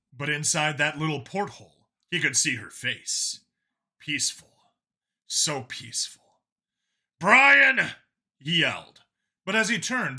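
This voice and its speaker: A male audiobook narrator tells a dramatic science fiction story in an expressive, theatrical way. His voice is slightly high for a man and very bright, with lively swings in pitch. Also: husky.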